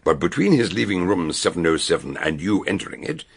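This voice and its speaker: An actor playing an eccentric, genial older English detective doctor in a radio mystery, musing and questioning with deliberate hems. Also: male voice, low voice, sing-song, vocal fry, breathy edge, full character voice. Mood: keenly intrigued. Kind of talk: British radio mystery drama.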